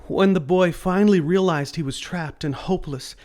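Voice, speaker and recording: A man narrating a scripted children's story in a deliberate read-aloud manner. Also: high-pitched, a little mellow.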